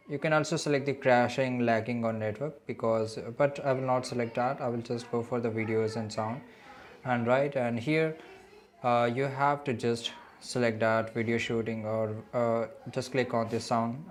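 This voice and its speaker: A man hosting a casual step-by-step tech tutorial, improvising in halting, non-native phrasing and often checking in with short tag questions. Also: medium pitch. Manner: repeats tag questions like "okay" and "right". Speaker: tutorial host.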